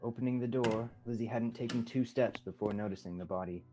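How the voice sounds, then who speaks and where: A man's voice: mid-range voice, a little fast. A young man reading scripted narration as the storyteller of a student radio drama. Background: soft music.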